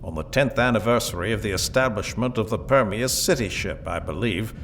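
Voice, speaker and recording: An actor playing a laconic, hard-drinking gunslinger mercenary with a formal, old-fashioned manner in an audio drama. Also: male voice, fairly low pitch, slight background noise. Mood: cool, menacing. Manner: slow, formal, old-fashioned gentlemanly talk. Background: music.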